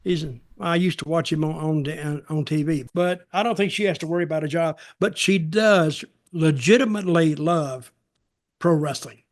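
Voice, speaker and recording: An older man with a somewhat high voice talks casually on a podcast. He gives his opinions haltingly, often repeating a phrase and starting it again. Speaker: podcast co-host and wrestling veteran. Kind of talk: casual chat, reminiscing and giving opinions.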